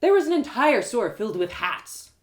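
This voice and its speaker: A woman storyteller reading first-person fiction aloud in an expressive, theatrical way. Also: a little high, normal speed. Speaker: author and storyteller.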